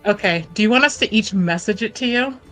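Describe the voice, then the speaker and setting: A woman's voice: medium pitch, a little fast, a bit bright, soft background music. A woman playing a tabletop roleplaying game, casually narrating what her character does.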